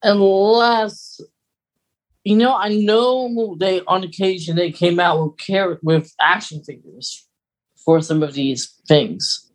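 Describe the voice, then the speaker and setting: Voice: mid-range voice, slow pace, rough recording. A podcast co-host chatting casually about fan topics, thinking aloud in a hesitant, rambling way.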